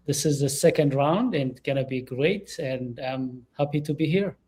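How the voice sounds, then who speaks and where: A man's voice: medium pitch, moderate pace, mellow. A middle-aged man from Ethiopia, a leadership coach speaking as an interview guest, earnest and emphatic.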